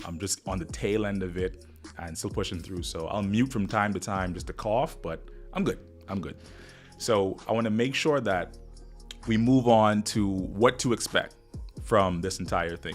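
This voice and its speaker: A man with a Bahamian accent hosting a casual live stream, rambling and upbeat as he talks straight to viewers, still getting over the flu. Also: low-pitched. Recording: music playing faintly behind.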